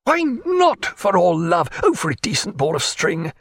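A man doing an excitable, exasperated surgeon. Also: a little slow, husky, no room sound, clean studio sound, exaggerated voice. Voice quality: high, exclaiming, agitated.

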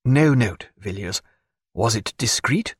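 A man voicing a reserved, discreet doctor in an audiobook, quietly civil, measured and guarded. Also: expressive, husky, no echo, clean studio sound, exaggerated voice. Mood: guarded, intent. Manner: low-key, measured, guarded. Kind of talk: audiobook character voice.

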